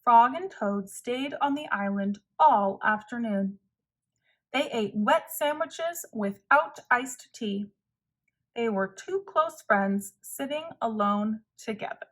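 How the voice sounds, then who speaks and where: A woman narrating a children's storybook aloud in a measured, clearly phrased way. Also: fairly high pitch, animated. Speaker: storybook reader.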